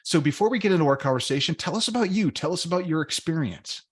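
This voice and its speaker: A man's voice: on the high side, lively intonation, fast talker, breathy edge. A man hosting a sales podcast, friendly and laid-back, with repeats and restarts. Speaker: podcast host.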